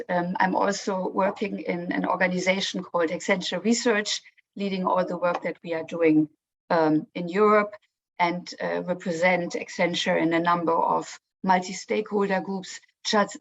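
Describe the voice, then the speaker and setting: A woman with a German accent, a managing director speaking on a video call panel, pausing often with fillers as she talks. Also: fairly low pitch, muffled recording. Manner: careful, many "um" pauses.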